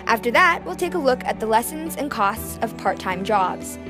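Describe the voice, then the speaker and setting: Teen girl co-anchor, higher voice, reading the lineup. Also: sing-song, moderate pace, over music. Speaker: student news anchor. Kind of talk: scripted news presenting.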